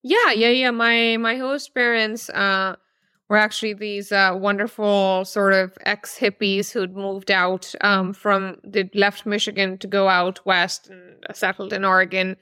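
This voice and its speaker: Woman, thirties, from Pakistan, novelist guest, thoughtful, filler-heavy, smooth crisp voice. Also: mid-range voice, little pitch movement, unhurried, pushed and bright, no echo, clean studio sound. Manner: thoughtful, many fillers and restarts. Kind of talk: interview answers.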